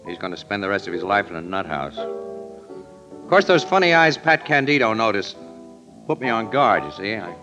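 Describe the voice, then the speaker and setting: An actor playing a wry, streetwise crime photographer in a 1940s radio drama, casual and slangy with an American accent. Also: male voice, fairly low pitch, big pitch swings, normal speed, pushed and bright. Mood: matter-of-fact. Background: music.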